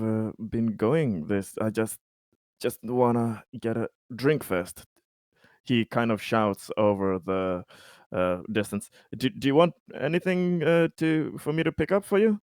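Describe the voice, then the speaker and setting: A man's voice: medium pitch, a little mellow, clear, resonant tone, no room sound, studio quality. A man playing a tabletop game, narrating his character in the third person, hesitant and searching for words.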